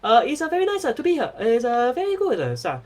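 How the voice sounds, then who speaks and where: A man voicing an overly polite middle-aged man with a mock Hong Kong English accent, halting and full of fillers. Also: very high voice, wildly swooping pitch, pitched above the performer's own voice, exaggerated voice.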